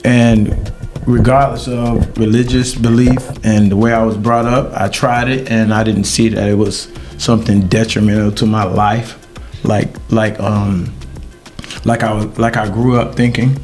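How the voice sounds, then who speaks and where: A middle-aged man hosting a podcast, talking in a halting, reflective way with many ums and uhs. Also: on the low side, level tone, a little mellow, faint music behind. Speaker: podcast host. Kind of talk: casual podcast chat.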